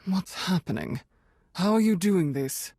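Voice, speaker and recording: An actress playing a stern, perceptive and dismissive Sith lord in a video game, with a terse, authoritative manner. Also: female voice, lowish voice, animated, unhurried, dark tone, husky, no room sound, clean studio sound, exaggerated voice. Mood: alarmed. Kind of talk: video game cutscene acting.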